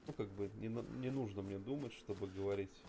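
Mellow, easygoing Latvian man on game chat. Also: on the low side, fairly quick, gravelly, slight background noise. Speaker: gamer on voice chat. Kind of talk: casual gaming chat.

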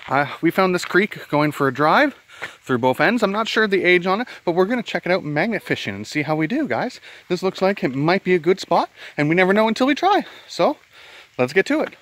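A man's voice: high voice, sing-song, fairly quick, fairly bright tone, no room sound. Chatty man vlogging outdoors by a creek. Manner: chatty and upbeat, addresses viewers as guys. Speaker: magnet fishing vlogger. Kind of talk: casual outdoor vlog to camera. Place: outdoors on a rural bridge over a creek. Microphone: handheld camera at arm's length.

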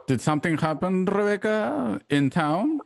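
A man playing a gentle, earnest and concerned frontier husband in a radio drama. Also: high-pitched, very animated, a little slow, vocal fry, no room sound, clean studio sound, exaggerated voice. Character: tender, concerned frontier husband who mixes in Spanish endearments.

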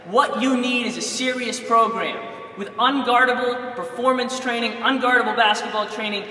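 A man's voice: very high-pitched, steady tone, moderate pace, pushed and bright, roomy sound, long reverb tail, a little hiss or hum. Young coach guy selling his program, emphatic, lapel mic, sounds a bit far off in a big gym. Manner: urgent, emphatic, direct address. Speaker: basketball coach. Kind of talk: sales pitch to camera. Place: large indoor gym.

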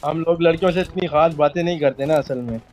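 A man's voice: a little high, steady tone, fast talker. A man in casual voice chat as a teammate in an online game. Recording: game voice chat under loud music. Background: loud music.

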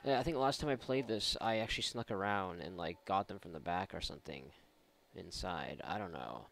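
A man's voice: low-pitched, fairly quick, gravelly, a bit bright. A man giving casual, rambling and sarcastic let's play commentary, swearing freely as he goes. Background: video game ambience.

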